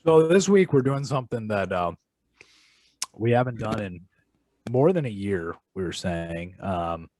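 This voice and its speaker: A low-voiced man co-hosts a casual film podcast, recorded dry and close. He mocks himself wryly and stops often to hunt for words. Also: animated, a little mellow, no room sound, low-quality recording. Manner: wry, self-mocking, halting with fillers. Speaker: podcast co-host. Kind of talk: casual chat.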